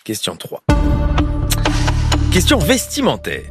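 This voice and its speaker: A man's voice: on the high side, takes it slowly, over loud music. A man hosting a radio quiz, playful and teasing with the contestant. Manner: teasing, lively, joking with the contestant.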